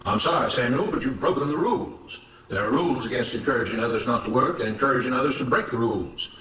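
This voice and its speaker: A man's voice: mid-range voice, quick pace, a bit bright, voice pushed up, close to an everyday voice. A man voicing an authoritarian plantation boss in a story, lecturing on order and duty with pompous self-righteousness. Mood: stern, righteous. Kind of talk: dramatized story reading. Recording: roomy, noisy, distant microphone.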